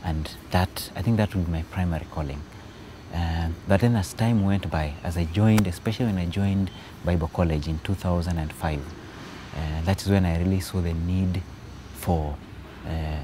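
Very deep-voiced man. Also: noisy background. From Kenya. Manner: thoughtful, pausing often. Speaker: theology student. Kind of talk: interview answers. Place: outdoors by a wall.

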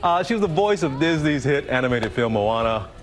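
A middle-aged man hosting a TV studio interview, animated and joking, with lots of stumbling restarts. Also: medium pitch, brisk, soft background music. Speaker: talk show host. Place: large TV studio.

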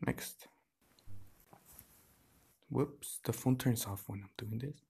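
A vlog host with noticeable creak in a mid-pitched voice. The delivery is casual chat, addressed straight to the viewers. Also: slow, warm tone. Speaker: podcast host.

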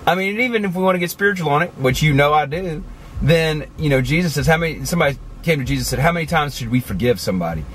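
A man in his forties talking casually to the camera from inside a car, animated and chatty, with many asides. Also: a little high, fast talker, a little hiss or hum, poor audio. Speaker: vlogger. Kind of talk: casual motivational talk to camera. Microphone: camera on the dashboard.

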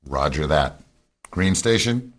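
A stern captain played by a man commands a covert team in a radio drama. His voice is extremely deep and rough-edged. He speaks in terse, firm orders. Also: lively intonation, slow pace, heavily acted. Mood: clipped, controlled.

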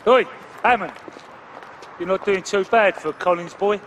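An actor playing a hot-headed, crude and taunting London gangster in a video game, with a Cockney accent. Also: male voice, high voice, moderate pace, a bit bright, a little hiss or hum. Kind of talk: video game cutscene acting.

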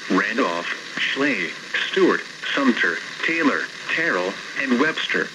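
A synthetic male announcer reads a formal, measured weather bulletin, heard through a radio speaker. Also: mid-range voice. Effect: played through a radio speaker. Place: radio on a shelf in a small indoor room. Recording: noisy weather radio speaker recorded in room.

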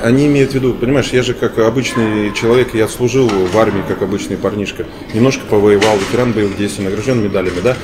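A man answering interview questions on a sofa in a big indoor hall, speaking in measured phrases with many hesitant fillers. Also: lowish voice. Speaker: interview guest. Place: large bright indoor hall, seated on a sofa. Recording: noisy room ambience.

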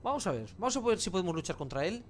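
A man's voice: high voice, big pitch swings, racing, a little hiss or hum. A man with an accent from Spain giving casual, colloquial gameplay commentary with mild swearing. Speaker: gamer, let's play host.

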